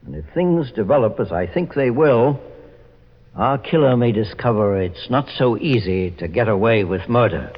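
Male actor, authoritative radio sleuth, shrewd, deliberate, expressive delivery, 1940s detective drama, dull old sound. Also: medium pitch, lightly acted. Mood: instructing. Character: commanding, shrewd male private detective, calmly accusing. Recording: muffled, reverberant old radio recording.

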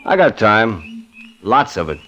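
An actor playing a dogged, quietly menacing police detective, terse and coolly probing, in an old radio crime drama. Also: male voice, on the low side, big pitch swings, takes it slowly, soft background music, full character voice. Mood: quietly needling. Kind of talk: 1940s-50s radio crime drama acting.

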